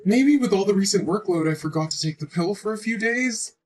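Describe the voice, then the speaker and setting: A woman's voice: medium pitch, lively intonation, a little fast, a little mellow, faint music behind, close to an everyday voice. A woman in her twenties playing a hurt, pleading young woman who has just learned she is pregnant, emotional and reproachful. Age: 20s. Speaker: pregnant girlfriend. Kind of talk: scripted relationship drama.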